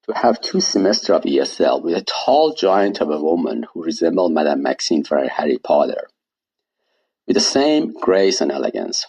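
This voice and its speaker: A man, reading his own personal essay aloud in a measured, wry way. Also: very deep voice, a little mellow, slightly rough, rough recording. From Iran. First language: Persian. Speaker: guest storyteller.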